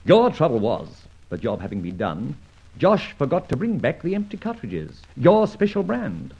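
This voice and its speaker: A suave gentleman adventurer who narrates his own exploits, his voice soaring and dipping dramatically. It has a husky edge and comes through a dull, lo-fi old radio recording. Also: mid-range voice, huge pitch swings, normal speed, exaggerated voice. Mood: commanding. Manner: confident, mocking, commanding. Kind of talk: 1940s radio acting.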